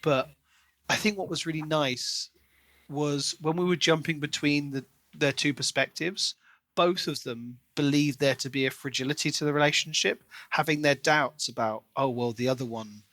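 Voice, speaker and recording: A man's voice: a little high, normal speed, pushed and bright, no echo. A man co-hosting a podcast, talking casually and analytically through a book as he thinks aloud. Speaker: podcast co-host.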